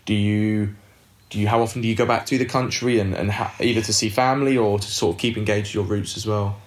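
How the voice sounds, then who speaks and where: Male podcast host, deep voice, careful long questions, echoey room. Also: steady tone, fast. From United Kingdom. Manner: attentive, frequent "mm" backchannels, long framed questions. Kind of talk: interview questions.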